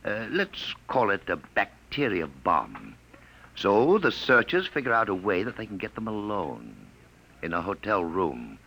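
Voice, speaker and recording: A man's voice: lowish voice, wildly swooping pitch, measured pace, pushed and bright, full character voice. Glib, commanding man in noisy old radio drama. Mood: sly. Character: smooth-talking male pursuer from the future posing as filmmaker. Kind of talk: 1950s radio drama acting.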